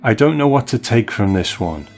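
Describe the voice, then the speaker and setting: A man hosting a podcast, reading out a listener's message in a measured way. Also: medium pitch, lively intonation, soft background music. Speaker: podcast host.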